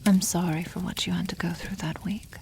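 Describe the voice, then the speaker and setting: A woman voicing a protective, tender female dragon in a soft, intimate ASMR roleplay, with formal wording and a hushed, often half-whispered delivery. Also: fairly low pitch, fairly even intonation, brisk, vocal fry, slight background noise. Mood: gentle, earnest.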